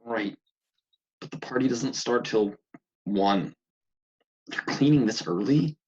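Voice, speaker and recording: A man's voice: medium pitch, slow pace, darkish tone, slightly rough. A man playing a tired, sarcastic young man who complains about his housemates in a comedy table read. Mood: grumpy, sarcastic. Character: sleep-deprived, exasperated young man with housemate troubles. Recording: muffled video call audio.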